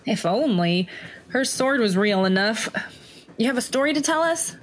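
An actress playing a stubborn, quippy swashbuckling heroine in an audio drama, with a theatrical manner. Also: female voice, on the high side, animated, moderate pace. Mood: confident, wry.

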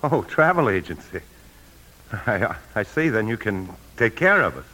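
An actor playing an anxious, evasive husband on the run in a 1950s radio drama, smooth-talking and guarded, who also narrates confessionally. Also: male voice, lowish voice, big pitch swings, normal speed, sharp tone, full character voice, low-quality recording. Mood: guarded, tense. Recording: 1950s radio broadcast, faint music.

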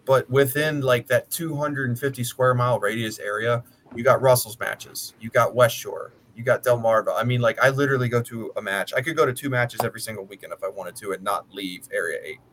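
A man's voice: mid-range voice, brisk, hardly any pauses, a bit bright, a little hiss or hum. An American man of about thirty chatting casually, talking at length in a blunt, sweary way. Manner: blunt, profane, talkative. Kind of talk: casual chat.

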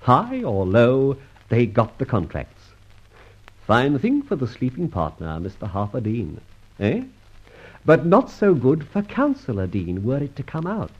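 Radio serial: suave, mock-courteous masked rogue, hugely animated delivery, slightly husky, pompous and teasing. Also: male voice, mid-range voice, unhurried, full character voice. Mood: smug, explaining. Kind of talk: old radio serial acting. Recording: dull old radio recording.

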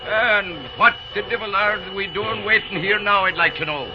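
An actor playing a brash, boastful Irish railroad engineer in an old-time radio drama, blustering and scolding with an Irish accent. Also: male voice, high-pitched, normal speed, music in the background, lightly acted. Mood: blustering, impatient. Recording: muffled, noisy old radio recording.

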